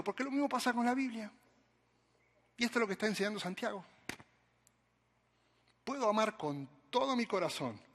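An Argentine man, a pastor, preaches in a high, bright voice that leaps up and down with lively energy. He speaks slowly and deliberately and turns often to his listeners. The sound carries a long reverb, as in a large hall. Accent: Argentine Spanish. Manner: animated, storytelling preacher who addresses the congregation directly. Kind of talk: sermon.

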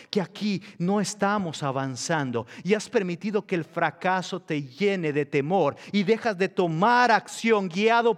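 A man preaching a sermon as pastor, swinging between hushed passages and loud, emphatic peaks. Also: high voice. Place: church hall. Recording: reverberant church hall.

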